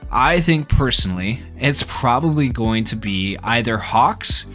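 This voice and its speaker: A man gives casual gaming commentary in a voice of ordinary pitch for a male speaker. He talks informally and off the cuff, rambling from point to point like a YouTuber chatting to viewers. Faint music plays behind him. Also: expressive, measured pace, pushed and bright. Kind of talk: casual video commentary on a game. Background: background music.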